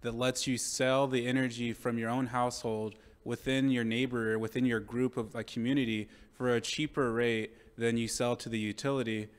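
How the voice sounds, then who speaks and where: A young man, a master's student with a loud voice, pitching his idea at a workshop. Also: flat delivery, normal speed, hardly any pauses, clear, resonant tone.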